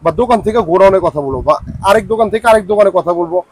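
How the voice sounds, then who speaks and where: A man's voice: high voice, rapid-fire, darkish tone, breathy edge. A man with a Bangladeshi accent presenting products and prices informally as a shop salesman, with repetitive patter and some English words.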